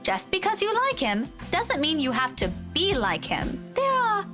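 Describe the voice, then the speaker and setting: A woman's voice: very high voice, wildly swooping pitch, a little slow, bright, forceful tone, pitched above the performer's own voice, exaggerated voice. A woman voicing a prim, easily outraged young female schoolteacher in a visual novel, emphatic and exasperated. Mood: gentle, flustered. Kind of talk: visual novel character voice-over. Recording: music behind the voice.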